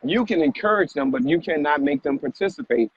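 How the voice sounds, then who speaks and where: A man's voice: on the high side, expressive, fairly quick. A man co-hosting a live motivational vlog, speaking emphatically with repeated phrases and rhetorical questions. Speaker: vlog co-host and fitness motivator. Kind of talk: motivational talk on a live stream. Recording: muffled audio with background noise.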